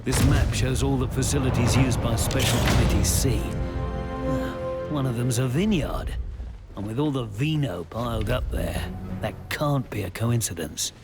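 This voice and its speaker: An actor playing a wry, cocky British covert agent in a World War II game, muttering in an understated way with a gravelly voice. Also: male voice, mid-range voice, moderate pace, over loud music, close to an everyday voice. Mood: wry, musing. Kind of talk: video game voice acting.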